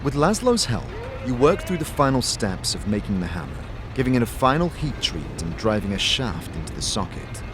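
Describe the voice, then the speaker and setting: A man's voice: fairly low pitch, very animated, moderate pace, hardly any pauses, noisy background. A man delivering scripted second-person narration as the storyteller of a fantasy audio drama. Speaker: game master narrator of a series. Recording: music bed behind the voice.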